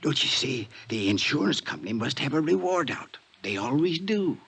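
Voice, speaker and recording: An actor playing a sick, dying old convict in a 1950s radio crime drama, slangy, breathless and pleading. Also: male voice, medium pitch, very animated, normal speed, a bit bright, a little hiss or hum. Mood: urgent, scheming. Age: older. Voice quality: gravelly, husky, slangy.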